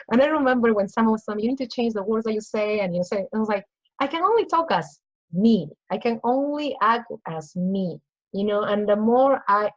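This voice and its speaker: A woman's voice: medium pitch, highs cut off. A woman from Peru with a Peruvian Spanish accent, speaking earnestly as a guest coach in a casual interview and acting out little inner voices. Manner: earnest, repeats phrases, acts out inner voices.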